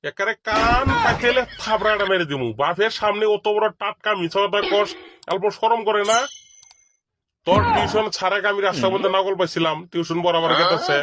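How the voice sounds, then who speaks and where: A man's voice: sits high, a little fast, pushed and bright, slight background noise. A man playing a strict, threatening father and tutor in a comedy cartoon, scolding. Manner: scolding, hectoring.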